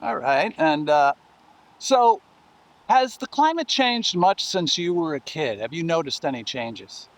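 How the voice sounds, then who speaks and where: A man's voice: fairly high pitch, big pitch swings, unhurried. A man interviewing someone casually, feeling his way through questions and rephrasing as he goes.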